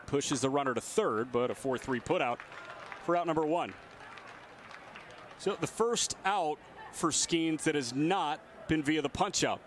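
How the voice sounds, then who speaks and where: A man's voice: on the high side, a little hiss or hum. A man doing live radio play-by-play commentary of a baseball game. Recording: outdoor stadium ambience behind voice. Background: ballpark ambience.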